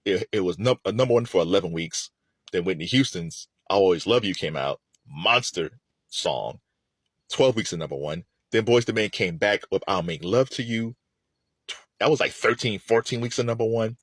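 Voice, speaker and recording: Low-voiced man with very animated intonation. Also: moderate pace, no room sound. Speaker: music commentator and host. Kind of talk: casual opinionated solo commentary.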